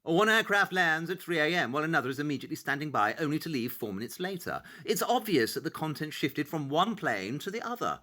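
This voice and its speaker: A man's voice: a little high, big pitch swings, fast, hardly any pauses, pushed and bright. An actor playing a brilliant, self-assured detective in an audio drama, precise and dryly condescending as he lays out his deductions. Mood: assured, cryptic. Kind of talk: audio drama acting, expository dialogue.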